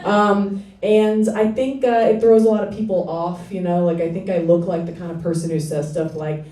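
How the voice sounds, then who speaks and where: A young woman with a deep voice doing a self-deprecating stand-up set, with hesitant fillers and dry delivery. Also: fairly even intonation, normal speed, mellow. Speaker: stand-up comedian. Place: small comedy club stage with laughing audience. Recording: echoey club, audience laughter, from a distance.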